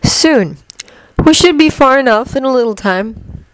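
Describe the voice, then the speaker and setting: A woman's voice: high-pitched, animated, measured pace, a little hiss or hum, voice pushed up, rough recording. A woman reading a fan fiction audiobook voices a cold, scornful male schemer who talks in a menacing, persuasive way. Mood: calm. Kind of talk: audiobook character voice.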